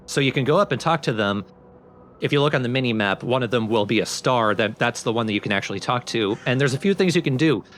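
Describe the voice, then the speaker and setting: A man's voice: medium pitch, a bit bright, slight background noise. A man giving casual, explanatory commentary over a video game, talking informally and step by step. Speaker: let's play commentator. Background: game music under the voice.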